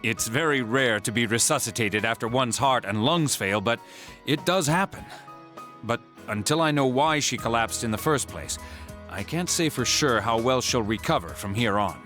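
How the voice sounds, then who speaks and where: Male hospital doctor played by an actor, video game dub, mid pitch, measured, formal, gentle, music behind. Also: animated, close to an everyday voice. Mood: cautiously hopeful. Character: calm, considerate adult male hospital doctor. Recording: music bed under the voice.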